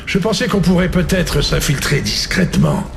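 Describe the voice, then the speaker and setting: Gravelly soldier narrating a war report. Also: male voice, on the high side, sing-song, moderate pace, noisy background. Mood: grave, recounting. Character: young soldier lieutenant recounting a doomed mission to his colonel. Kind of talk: scripted game narration in French dub.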